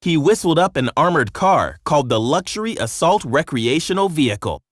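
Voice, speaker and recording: A man narrating a children's story from a script in an expressive storytelling manner. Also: fairly high pitch, a little fast, dry recording, clean studio sound.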